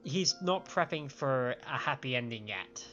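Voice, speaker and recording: A man streams a game over clear background music, his sound a little dull and lo-fi. He reads the dialogue aloud, tripping over words as he goes, and adds his own reactions. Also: mid-range voice, lively intonation, unhurried, a bit bright. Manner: stumbles over words when reading aloud.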